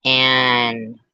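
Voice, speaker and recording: A woman's voice: a bass voice, creaky, sharp tone, smooth, no room sound, dull audio. A woman in her fifties hosting a reaction commentary, blunt and sarcastic, often repeating herself and swearing. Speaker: commentary host.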